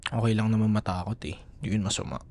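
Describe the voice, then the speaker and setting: A man with a Filipino accent playing a gentle, doting young boyfriend who soothes his partner in intimate ASMR roleplay. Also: on the low side, quick pace, natural voice. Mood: soothing, tender. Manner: soothing, affectionate, soft murmurs.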